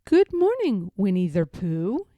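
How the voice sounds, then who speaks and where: A woman voicing a curious, gentle young boy from a storybook. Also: mid-range voice, wildly swooping pitch, slow pace, no room sound. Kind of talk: storybook character voice.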